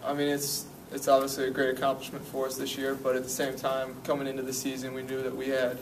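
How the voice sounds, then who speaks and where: A young man, a senior college basketball player, giving measured postgame answers to reporters with frequent "uh" fillers. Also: mid-range voice. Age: young adult. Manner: steady, measured team talk with "uh" fillers. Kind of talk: postgame press conference answers. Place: press conference room. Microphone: table mic, picked up from a distance. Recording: distant mic, noisy room.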